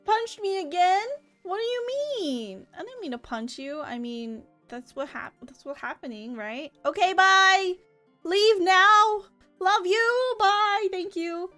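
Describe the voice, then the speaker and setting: Chatty girl streamer, pretty high voice, gushy greetings to viewers, some game music in the back. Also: expressive, takes it slowly, bright, forceful tone. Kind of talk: livestream chat and reading game dialogue aloud. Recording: music behind the voice.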